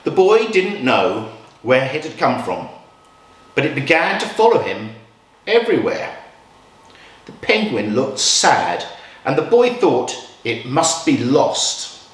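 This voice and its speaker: A man reads a children's picture book aloud. His delivery is slow and very animated, with expressive swings and dramatic pauses. The recording is echoey, as in a live room. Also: mid-range voice. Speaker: children's storytime reader. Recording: roomy echo, distant from mic.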